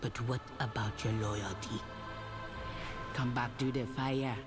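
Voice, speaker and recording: A woman voicing a stern, solemn elder in a fantasy video game, speaking gravely and portentously. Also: rumbling low, fairly even intonation, slow pace. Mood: grave, testing. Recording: game audio with clear music behind.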